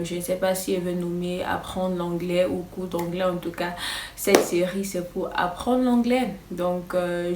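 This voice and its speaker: Une femme anglophone, voix lointaine dans une pièce résonnante. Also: female voice, lowish voice, a little mellow, roomy sound, far from the mic, slight background noise. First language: English. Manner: hesitant, many filler pauses, nervous. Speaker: English teacher and YouTuber. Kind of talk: casual vlog-style lesson.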